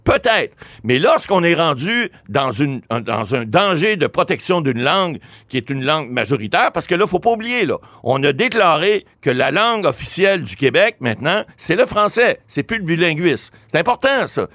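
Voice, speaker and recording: A man from Ottawa, a lawyer guest on a radio talk show, explaining points with emphatic, lively delivery. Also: on the high side, normal speed, dry recording. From Ottawa, Canada. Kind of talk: radio interview.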